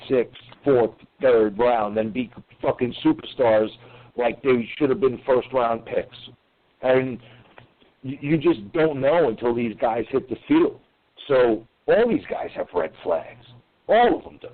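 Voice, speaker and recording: A man, co-hosting a casual sports podcast, animated, swearing and tripping over his words. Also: mid-range voice, a little slow. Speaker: podcast co-host. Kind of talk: casual sports call-in chat. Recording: tinny phone-like line.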